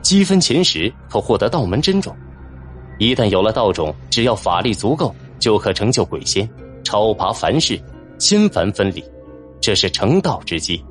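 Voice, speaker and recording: Man, low voice, animated intonation, dramatic scripted novel narration, music underneath. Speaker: audiobook narrator.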